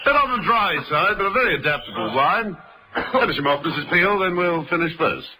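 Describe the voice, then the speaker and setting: An actor playing an urbane, unflappable gentleman agent with dry wit, in a vintage radio drama style. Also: sits high, sing-song, a little fast, over loud music. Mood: breezy, amused. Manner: suave, wry, understated delivery. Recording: noisy vintage radio recording.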